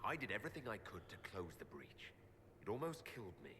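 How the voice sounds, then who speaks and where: An earnest male dwarf from a fantasy video game, doubtful and a little defensive. His low-set voice swings widely in pitch. There is clear noise in the audio. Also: sing-song, fast talker, bright, forceful tone.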